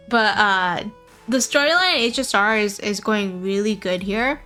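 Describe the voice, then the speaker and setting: Female game streamer, bright higher voice, chatty and playful, faint music behind. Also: slow pace. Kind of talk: casual live-stream chat.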